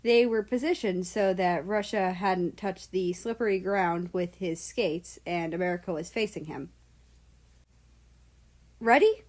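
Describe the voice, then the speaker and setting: A woman's voice: on the low side, moderate pace, hardly any pauses, darkish tone. A woman narrating a scripted story in a storybook style. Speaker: fan fiction narrator.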